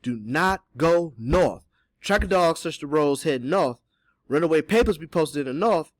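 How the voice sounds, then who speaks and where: A man's voice: a little high, animated, a little slow, no room sound, voice pushed up. A man voicing an old enslaved Southern man in an audiobook, sly and hopeful, giving urgent, folksy counsel. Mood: cautioning. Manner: whispering Southern dialect speech, urgent and folksy.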